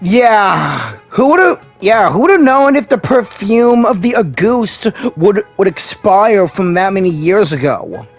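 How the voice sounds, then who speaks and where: A man's voice: high-pitched, big pitch swings, slow pace, few pauses, breathy edge, faint music behind. A man giving casual commentary as a streamer while playing a video game.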